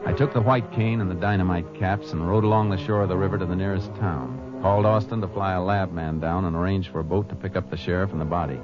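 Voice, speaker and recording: An American man playing a steady, terse Texas Ranger who narrates his own case in a 1950s radio drama, speaking in a clipped, matter-of-fact way. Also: sits low, fast, hardly any pauses, close to an everyday voice. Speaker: lead actor and narrator. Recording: muffled old radio broadcast with music.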